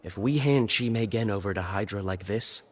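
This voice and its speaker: A man's voice: fairly low pitch, normal speed, slightly rough. A man voicing a skeptical, blunt team member in a dramatic video game.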